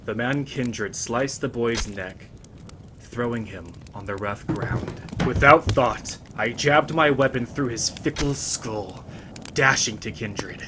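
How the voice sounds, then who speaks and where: A man voicing a grim lone bard, narrating a dark fantasy tale in the first person with dramatic weight. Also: medium pitch, slow, background noise, lightly acted. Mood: grim, intense. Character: grim lone male fantasy bard recounting his bloody past.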